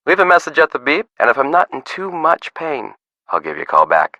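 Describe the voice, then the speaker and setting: A man's voice: a little high, big pitch swings, fairly quick, bright, forceful tone, no room sound, lo-fi sound, exaggerated voice. An actor playing a breezy, slick man after a settlement in a video game, glib and showy. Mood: breezy, smug. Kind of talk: video game character voice lines.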